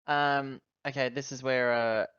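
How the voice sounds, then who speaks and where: A man's voice: medium pitch, fairly even intonation, measured pace, fairly bright tone, clear, resonant tone, no echo. Rambling man at a game, slightly muffled. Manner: rambling, hesitant, self-correcting. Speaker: tabletop game player. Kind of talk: casual game talk, describing character actions.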